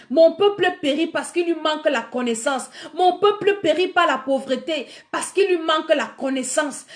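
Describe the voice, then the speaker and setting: A woman preacher leading a sermon and guided prayer while she has a cold. She moves between soft murmured prayer and rising, fervent exhortation. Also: high voice.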